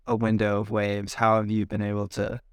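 A man hosting a casual interview in a relaxed, slangy way. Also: fairly low pitch, fairly quick, clear, resonant tone, dry recording, studio quality. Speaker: podcast host.

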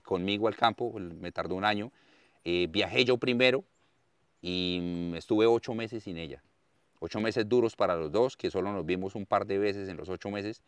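A man in his thirties with a Santander Colombian accent speaks in a very low, smooth and resonant voice. He tells his life story earnestly and expressively, often repeating words for emphasis. The recording is close and dry. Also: no room sound. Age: 30s. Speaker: interviewee, farmer and content creator. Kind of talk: conversational storytelling interview.

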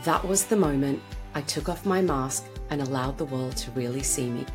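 A woman podcast host reading a scripted introduction and asking questions in a measured way with fillers. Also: a bass voice. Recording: echoey room with faint music.

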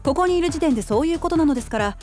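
A woman's voice: sits high, animated, racing, a little mellow, soft background music. A woman playing a formal, businesslike young woman in a radio drama, very polite and matter-of-fact.

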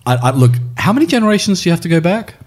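Husky Australian male co-host, halting and self-deprecating, animated pitch. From Adelaide, Australia. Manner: hesitant, stammering, self-deprecating humour. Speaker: podcast co-host. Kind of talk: casual comedy chat.

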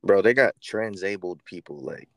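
A man co-hosting a podcast argues his points earnestly and keeps restarting his sentences. His voice is very deep. The sound is dry and close-miked. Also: animated, normal speed, fairly bright tone, no echo. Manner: earnest, argumentative, hesitant restarts. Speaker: podcast co-host. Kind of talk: casual podcast chat.

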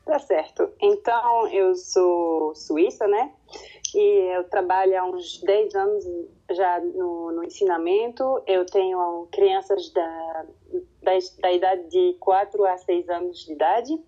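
Woman, low mellow voice. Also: dull audio. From French-speaking Switzerland. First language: French. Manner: halting, searching for words. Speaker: kindergarten teacher, guest.

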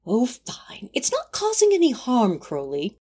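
A woman's voice: on the high side, huge pitch swings, unhurried, mellow, breathy edge, full character voice. A woman playing a prim, fussy male angel, genteel and mildly put-upon, in a fan audio drama. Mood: prim, defensive.

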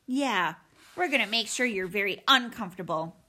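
A woman's voice: mid-range voice, lively intonation, moderate pace, sharp tone. A young storybook bear cub, put on by a woman. He is the eager little brother, trying to sound tough. He comes across whiny and easily put out. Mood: eager, taunting.

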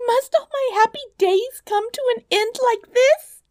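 Storybook heroine: woman plays a proud, anxious young princess, very high and plaintive, quick to exclaim. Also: dry recording, clean studio sound. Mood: despairing. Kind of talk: fairy tale storybook reading.

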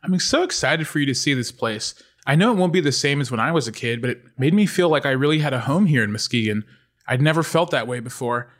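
A man's voice: medium pitch, animated, quick pace, fairly bright tone, close to an everyday voice. A man playing a cheerful, affectionate mother of two teenagers, upbeat and coaxing, in a comedy script read.

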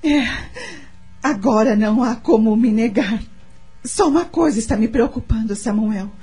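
Mellow, gentle Brazilian wife. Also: female voice, on the high side, moderate pace, slightly rough. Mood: worried. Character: gentle, loving, pregnant wife and mother. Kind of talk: Brazilian radio soap opera acting. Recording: music under the voice.

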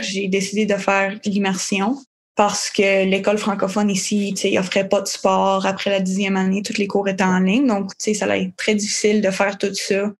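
A young francophone woman telling her story casually, with lots of fillers. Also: medium pitch. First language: French. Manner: rambling, filler-heavy. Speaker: interviewee. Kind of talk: casual testimony.

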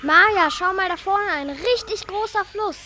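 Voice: very high voice, a little slow, over music. A child playing an eager, naive young adventurer in a school radio play, speaking the script earnestly. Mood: curious.

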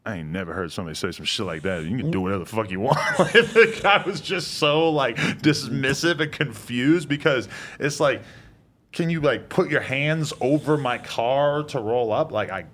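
A man's voice: medium pitch, lively intonation, moderate pace, fairly bright tone, a little hiss or hum. A young man hosting a casual podcast, telling loose, rambling stories full of fillers. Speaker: podcast host. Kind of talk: casual chat.

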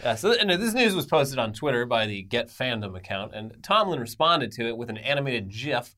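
Male co-host, medium-pitched with lively swings, chatty news commentary and sardonic asides. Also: brisk. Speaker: co-host of an entertainment news show. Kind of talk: conversational news commentary with banter.

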